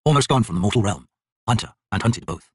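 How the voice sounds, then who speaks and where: Male narrator as male clan leader, fantasy audiobook, sardonic, solemn, slow, husky. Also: lowish voice, expressive, dry recording, studio quality. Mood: wry, weary. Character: grave, wry male prehistoric clan leader.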